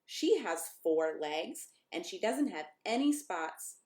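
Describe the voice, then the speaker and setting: A woman's voice: fairly low pitch, big pitch swings, slow, a little mellow. A woman voicing a curious, cheerful male puppet pal from a storybook, making eager suggestions.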